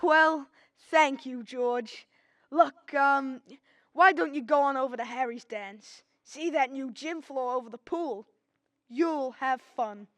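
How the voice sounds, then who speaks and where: A woman acting a gentle, weary father in a radio drama, speaking patiently with small hesitations. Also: high voice, slow, no echo, clean studio sound. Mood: warm. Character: gentle, weary old father of a grown son. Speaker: student actor.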